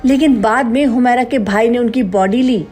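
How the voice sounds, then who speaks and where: A high-pitched woman narrator delivers a scripted news story in a dramatic style. Faint music plays behind her voice. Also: moderate pace, a little mellow, husky. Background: background music.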